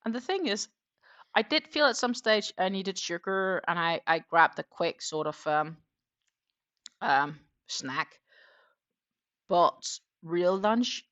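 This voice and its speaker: A woman's voice: on the low side, fairly bright tone, lo-fi sound. Chatty woman rambling with ums. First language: not German. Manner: chatty, rambling, frequent um fillers. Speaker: crochet channel host. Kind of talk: casual livestream chat while crocheting.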